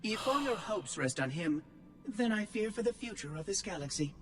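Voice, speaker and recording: A woman's voice: medium pitch, animated, normal speed, lightly acted. A woman voicing a wise oracle in a game cutscene, formal, articulate and probing. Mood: measured concern. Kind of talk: dramatic game cutscene acting. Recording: loud music underneath.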